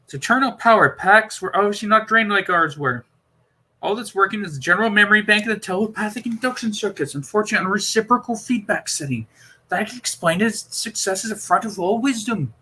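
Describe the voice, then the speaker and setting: A precise, excitable male scientist doctor from a storybook as acted by a male reader. He lectures firmly and emphatically in a raised, very sharp, forceful voice. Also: high-pitched, fast talker, husky, pitched above the performer's own voice, heavily acted, rough recording. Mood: grave explaining.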